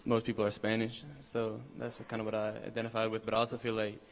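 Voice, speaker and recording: Fast, monotone young man in a hall. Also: fairly low pitch. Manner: easygoing, casual slang. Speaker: interviewee.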